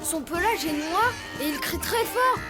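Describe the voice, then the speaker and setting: A woman's voice: very high-pitched, animated, measured pace, over loud music. A woman voicing a kind, brave young male leopard cub in a cartoon dub, polite and eager. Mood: eager, helpful. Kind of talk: cartoon dubbing.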